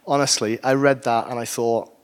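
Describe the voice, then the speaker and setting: A man's voice: mid-range voice, steady tone, moderate pace, clear, resonant tone. A man from Wales with a Welsh accent, preaching a sermon in a conversational way with humorous asides.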